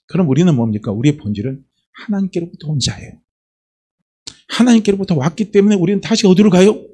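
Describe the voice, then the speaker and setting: A man's voice: high-pitched, darkish tone, slightly rough. A male pastor from Chungcheong preaching a Sunday sermon, asking the congregation rhetorical questions. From Chungcheong, South Korea.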